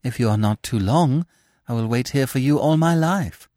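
Brief: male reader as a dramatic, devoted young lady, pitched up. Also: animated, moderate pace, warm tone, dry recording, studio quality, natural voice.

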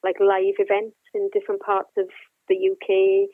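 A Welsh woman speaks gently and earnestly as a guest researcher on a podcast. Her voice comes through a thin, tinny phone line with a dry sound. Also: mid-range voice, monotone, moderate pace, no echo. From Wales. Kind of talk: remote interview.

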